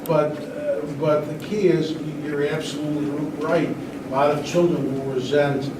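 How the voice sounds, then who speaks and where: A man answers on a discussion panel, halting and repeating words for emphasis. His voice is distant and swims in heavy echo, with loud noise throughout. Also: fairly high pitch, fairly even intonation. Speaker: attorney and panel guest. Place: large echoey room at a school event. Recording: echoey hall, distant mic, loud noise.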